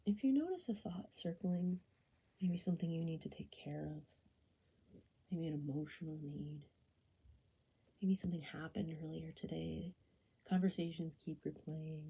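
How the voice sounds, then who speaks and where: Female meditation guide: very mellow, lowish voice, soft and breathy, gentle soothing delivery that drifts into whispering. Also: low-quality recording. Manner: gentle, unhurried, soothing instruction. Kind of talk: guided body scan meditation.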